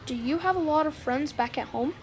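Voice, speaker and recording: A woman voicing an outgoing, chatty preteen boy at summer camp in a children's audio drama, breezy, informal and excitable. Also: sits high, a little fast, slight background noise, close to an everyday voice. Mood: curious, eager.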